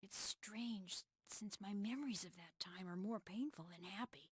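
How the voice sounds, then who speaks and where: A woman playing a sweet, soft-spoken teenage girl in a video game cutscene. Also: mid-range voice, normal speed, breathy edge, no echo, studio quality, close to an everyday voice. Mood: wistful.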